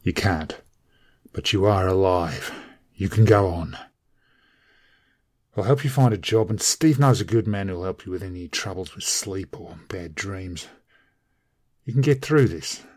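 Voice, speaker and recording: A man's voice: deep voice, animated, unhurried, pauses often, a little mellow. An actor playing a kindly, firm, plain-spoken man offering reassurance in a radio drama. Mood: reassuring, firm. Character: kindly, reassuring man of authority consoling a victim.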